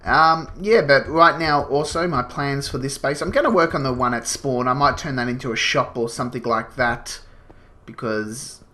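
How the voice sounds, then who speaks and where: A man's voice: mid-range voice, normal speed, pushed and bright. A man with a slight cold, sniffling as he gives casual, rambling gameplay commentary full of fillers. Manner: rambling, explains as he goes, many fillers. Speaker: gaming channel host.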